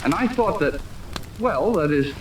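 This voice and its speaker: A man's voice: fairly high pitch, lively intonation, measured pace. A young man playing an eager, indignant teenage boy with slangy, earnest talk in a 1930s radio serial. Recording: noisy, distant 1930s radio recording, rain behind.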